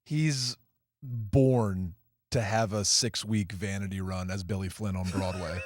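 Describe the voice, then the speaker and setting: A man's voice: fairly low pitch, fairly even intonation, a little slow, dry recording, clean studio sound. Wry, quippy male podcast host. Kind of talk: casual movie review chat.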